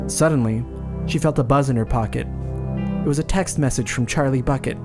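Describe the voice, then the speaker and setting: A man's voice: mid-range voice, a little fast. A man hosting a comedy podcast, speaking as writer and reader of his own story. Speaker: podcast host. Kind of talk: dramatic scripted narration, then casual chat. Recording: loud music behind the voice.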